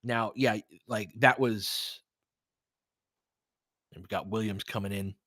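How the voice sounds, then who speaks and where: A man's voice: fairly low pitch, steady tone, slow, a bit bright, no room sound. Man calling basketball, close and dry. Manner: candid, colloquial partisan fan reactions with swearing. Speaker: fan play-by-play commentator and host. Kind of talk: live basketball play-by-play commentary with casual analysis.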